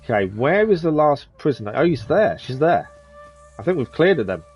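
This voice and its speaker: A man's voice: fairly high pitch, big pitch swings, brisk, darkish tone, slightly rough, muffled recording, soft background music. A man in his thirties giving live gameplay commentary, chatting with viewers and muttering at enemies as he plays. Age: 30s. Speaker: streamer.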